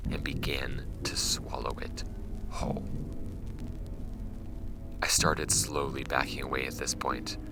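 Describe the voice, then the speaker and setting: An audio drama archivist, played by a man, reads case files in an extremely deep voice. It crackles with vocal fry, and music plays clearly underneath. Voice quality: creaky, very deep. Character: dry, skeptical male archivist recording case notes. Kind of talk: scripted audio drama narration, reading case files aloud.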